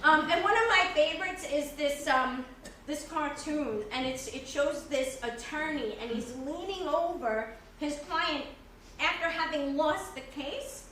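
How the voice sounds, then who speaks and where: A woman reading the stage role of a flirty, joking, scatterbrained friend, with halting delivery. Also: sits high, fairly bright tone. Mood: amused. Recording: echoey room, distant mic, noisy.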